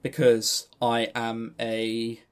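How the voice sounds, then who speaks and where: A man's voice: mid-range voice, monotone, very slow, smooth. Rambling Englishman on a podcast. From England. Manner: rambling, stammering with repeated words. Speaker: podcast co-host. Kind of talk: casual discussion and review.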